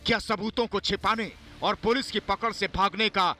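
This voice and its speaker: A man's voice: high voice, steady tone, normal speed, pushed and bright. A man reading a scripted news report in an emphatic, accusatory way. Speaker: news narrator. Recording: news voice-over with music bed. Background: music.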